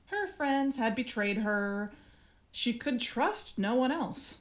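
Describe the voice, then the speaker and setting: A woman playing a cool, smug alien parasite speaking through its human host, measured and formal, in an audio drama. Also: on the high side, lively intonation. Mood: smug, persuasive.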